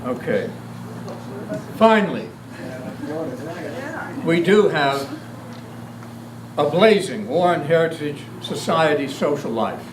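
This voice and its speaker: A man delivers a formal address in an oratorical way. He speaks slowly in a raised register, heard from a little way off with noticeable echo. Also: a little high, lively intonation. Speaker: heritage society speaker. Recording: echoey, distant, faint background noise.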